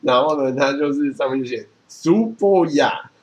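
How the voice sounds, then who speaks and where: A man's voice: medium pitch, lively intonation, normal speed, a little mellow. A man with a Taiwanese accent chatting casually as a podcast host. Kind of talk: casual chat. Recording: clearly noisy background.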